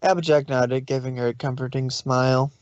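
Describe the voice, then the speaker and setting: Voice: mid-range voice, fairly even intonation, fairly quick, a little mellow, dry recording. Dry, slightly muffled story narrator.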